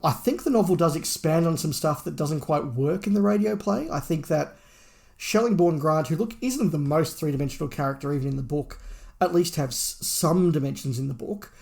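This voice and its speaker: Middle-aged man with a creaky voice. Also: on the high side, fairly quick, darkish tone. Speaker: podcast co-host reviewing fiction. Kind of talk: casual discussion and review.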